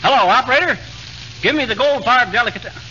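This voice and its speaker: An actor playing a folksy, boastful, blustering American husband in a 1940s radio comedy. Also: male voice, extremely high pitch, quick pace, bright, forceful tone. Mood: eager. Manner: folksy slangy grammar, blustering. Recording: noisy, muffled 1940s radio recording.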